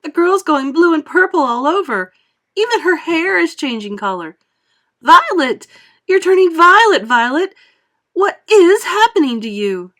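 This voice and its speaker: A woman's voice: very animated, moderate pace, hardly any pauses, a bit bright. A woman shrieking as a frantic mother. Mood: shrieking panic. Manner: screaming, shrill, panicked.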